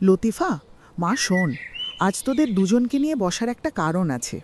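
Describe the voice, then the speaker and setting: Cartoon village mother-in-law, actress: older woman, gentle, sentimental, moralizing, animated pitch, birds and faint noise behind. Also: normal speed, close to an everyday voice. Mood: confiding, regretful. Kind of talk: cartoon voice acting.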